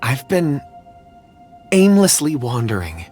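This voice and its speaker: A man's voice: mid-range voice, big pitch swings, slow pace, a little mellow. An actor playing a polite, anxious, absent-minded young man in a video game, hesitant and earnest. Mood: lost, earnest. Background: background music.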